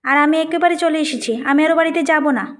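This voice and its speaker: A woman's voice: high-pitched, rapid-fire, pitched above the performer's own voice, close to an everyday voice. A woman voicing a haughty, work-shy young wife in a cartoon, complaining and snapping indignantly. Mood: sulky, complaining.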